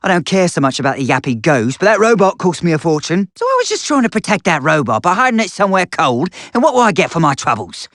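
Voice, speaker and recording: Paranoid hermit creature for a video game: high, cartoonish, swinging pitch, folksy and cranky grumbling. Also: male voice, fast talker, few pauses, no echo, studio quality. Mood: indignant. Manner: folksy, grumbling, excitable rustic speech. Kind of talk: video game character voice lines.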